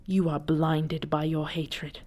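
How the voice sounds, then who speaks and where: A woman's voice: deep voice, level tone, takes it slowly, mellow. A woman playing a defiant, principled dragon princess in an audio drama, speaking formally and with earnest emphasis. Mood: weary, reproachful. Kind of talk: dramatic audio roleplay acting.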